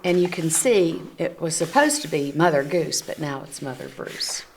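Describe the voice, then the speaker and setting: A woman reading a picture book aloud to children, gently explaining the pictures as she goes. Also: low voice, lively intonation, normal speed, a little hiss or hum. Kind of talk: read-aloud storytelling for children. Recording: community TV recording.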